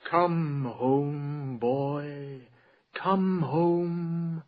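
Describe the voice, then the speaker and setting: Vain, courtly male poet, unhurried theatrical delivery, higher-set voice, pompous, dulled radio drama sound. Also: steady tone, narrow, tinny audio. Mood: declaiming, yearning. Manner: florid, pompous, savoring words.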